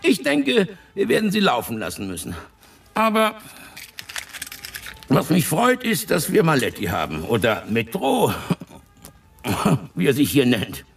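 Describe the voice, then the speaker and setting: A knowing, self-satisfied investigator in a radio drama. The voice is high for a man and very animated, full of husky gravel. He is a little off the microphone and deliberate in delivery. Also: far from the mic, soft background music, heavily acted. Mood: smug satisfaction. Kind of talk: German radio play dialogue.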